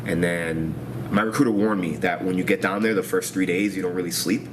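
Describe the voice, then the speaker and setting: A man with a New York accent talks quickly and casually, his very deep voice tripping over restarts and fillers. He sounds like he is in his thirties. Steady hiss and noise sit under the recording. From Queens, New York. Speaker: veteran interviewee. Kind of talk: oral history interview. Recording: noisy room recording.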